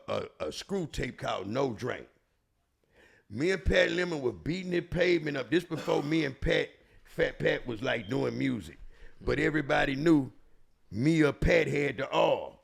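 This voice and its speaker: Man, Houston Texas accent, very creaky gravelly voice, middling pitch, casual storytelling, slangy and profane, emphatic. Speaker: storyteller and show regular.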